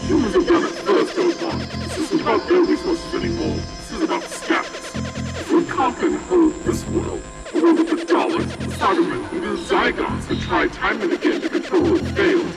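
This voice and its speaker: A man voicing an imperious, impatient and ruthless alien invasion commander in an audio drama, with a gravelly voice. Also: extremely high pitch, huge pitch swings, moderate pace, heavily acted. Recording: distant voice under loud electronic music.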